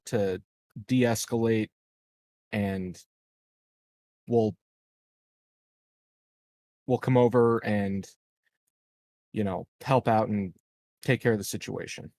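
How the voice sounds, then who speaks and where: Halting man with a dry, close sound. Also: lowish voice, a little mellow, dry recording. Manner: halting, deliberate planning aloud. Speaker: tabletop game player. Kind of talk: casual game-table talk.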